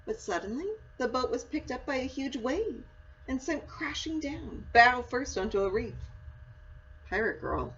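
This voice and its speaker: A woman reading a children's storybook aloud in an expressive, dramatic and deliberate way. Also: sits high. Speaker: story reader, school read-aloud. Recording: dull lo-fi sound with faint noise.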